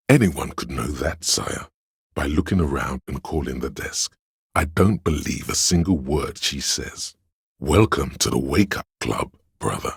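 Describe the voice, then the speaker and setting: A very deep, gravelly, courtly schemer. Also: male voice, no room sound, studio quality, exaggerated voice. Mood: admonishing, then suspicious, stern. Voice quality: gravelly, husky. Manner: dramatic, archaic, declamatory. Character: grandiose inner voices of a detective, scheming and courtly. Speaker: voice actor. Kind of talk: theatrical video game voice lines.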